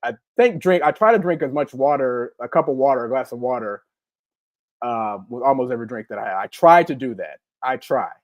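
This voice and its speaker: A man's voice: medium pitch, lively intonation, fairly quick, dry recording. Man, forties, upbeat, rambling, live-stream chat host, dry close mic. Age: 40s. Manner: rambling and upbeat, full of ums and repeats. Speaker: podcast co-host. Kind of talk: casual live-stream chat reading chat comments.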